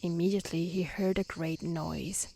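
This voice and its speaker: A woman reading a storybook aloud in a soft, breathy, half-whispered ASMR style, gently and carefully. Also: on the low side, fairly even intonation, normal speed. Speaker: narrator reading a fairy tale. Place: outdoors in nature. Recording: faint outdoor insect noise. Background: crickets and insect ambience.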